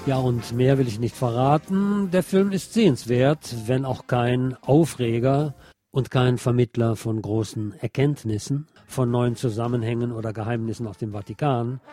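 Male film critic with mellow voice, music underneath. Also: medium pitch, moderate pace. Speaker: radio or podcast host reviewing films. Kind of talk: film review, part scripted narration, part conversational.

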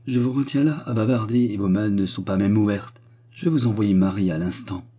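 A man's voice: fairly low pitch, fairly quick, dark tone, natural voice. A man voicing a kind, idealistic young lady hostess in an audiobook, speaking politely and with effusive, earnest concern. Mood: forced cordiality.

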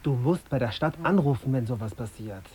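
An actor playing a brooding, irritable young journalist in a radio drama, terse in dialogue and introspective in inner monologue. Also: male voice, medium pitch, expressive, fairly quick, background noise, close to an everyday voice. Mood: exasperated.

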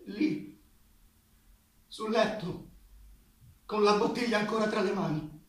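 Uomo che fa un animale di fattoria, acutissimo, riverberato. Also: male voice, high-pitched, slow pace, fairly bright tone. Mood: mournful. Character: farm animal, mournful, loyal pet of the old master. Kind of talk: theatrical stage monologue, half-chanted. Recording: distant, very echoey theatre recording, audience sounds.

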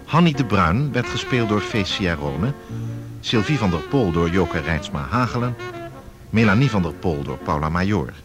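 A man's voice: low voice, big pitch swings, moderate pace. A man reading scripted closing credits for a radio drama serial as its announcer. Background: music.

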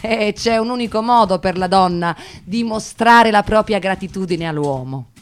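An actress playing an articulate, wry narrator in a radio play, delivering literary scripted narration in a measured, ironic manner. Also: female voice, mid-range voice, lightly acted. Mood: wry, expository. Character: articulate, wry female narrator observing Roman society.

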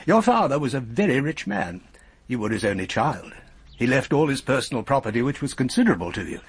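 An actor playing a genial, shrewd older English solicitor in a radio drama, measured and courteous in manner. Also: male voice, medium pitch, sing-song, husky.

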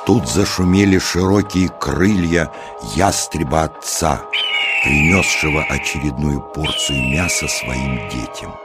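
A man's voice: extremely low pitch, takes it slowly. A man narrating a scripted children's story as a storyteller. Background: music.

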